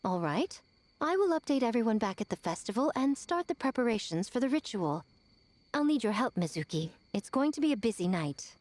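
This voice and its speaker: A woman's voice: fairly high pitch, big pitch swings, fairly quick, a little mellow, dry recording. An actress playing a sly, confident, teasing woman in a video game, with a poised and theatrical delivery. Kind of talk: video game cutscene acting.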